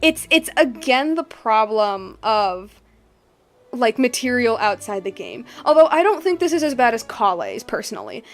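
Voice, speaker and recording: A woman giving casual game commentary, thinking aloud in animated, broken bursts. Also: on the high side, normal speed, faint music behind. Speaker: game streamer.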